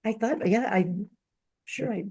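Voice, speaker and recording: A woman's voice: mid-range voice, a little slow, warm tone, breathy edge. An older woman talking in a casual book club discussion in a rambling, reflective way.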